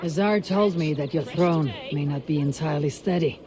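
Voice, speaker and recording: An actor playing a terse, blunt Viking warrior leader in a video game. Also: female voice, sits low, moderate pace, a crowd in the background, natural voice.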